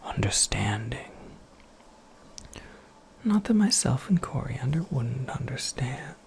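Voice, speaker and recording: A performer voicing a cryptic, wry witch mentor in a breathy, unhurried ASMR whisper, with formal and dryly playful phrasing. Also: medium pitch, a little mellow, slight background noise. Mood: playful, gentle.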